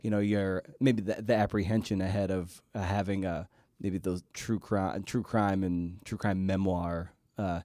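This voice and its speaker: Low, dry-sounding man interviewing casually. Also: flat delivery, dark tone, no echo. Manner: halting, circles toward his questions. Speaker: podcast host, interviewer.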